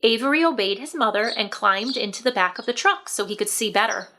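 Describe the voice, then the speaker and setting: A woman narrating a children's book aloud in an expressive, scripted storybook style. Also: on the high side, brisk, a little hiss or hum.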